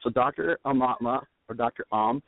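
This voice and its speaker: A man, a wellness coach, talking on the radio in an enthusiastic, rambling way, with a raspy voice today. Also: medium pitch, moderate pace. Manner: enthusiastic, rambling, lots of restarts. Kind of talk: casual radio chat. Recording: tinny phone line with faint noise.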